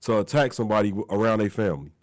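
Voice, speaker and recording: A man co-hosting a sports talk show, congested and nasal from a cold. His voice sits fairly low, and he talks emphatically, leaning on repeated tag questions. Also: racing. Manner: emphatic, repeats "you know what I'm saying".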